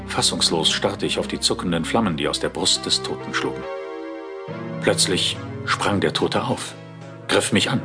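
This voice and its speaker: An actor plays a male detective narrating his own horror story. His voice is low and the delivery scripted and dramatic. Music runs clearly behind him. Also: lightly acted. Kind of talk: scripted first-person audio drama narration.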